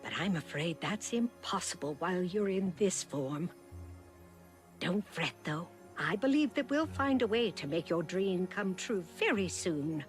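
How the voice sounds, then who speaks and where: An actress playing a gentle, knowing older fairy godmother in a video game, with a coaxing, theatrical manner. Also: lowish voice, expressive, a little slow. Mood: knowing, reassuring. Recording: game music behind the voice.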